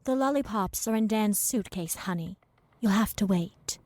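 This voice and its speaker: A woman's voice: medium pitch, moderate pace, a little mellow, dry recording, close to an everyday voice. A woman playing a protective, patient minder of a child in an audio drama, motherly and admonishing.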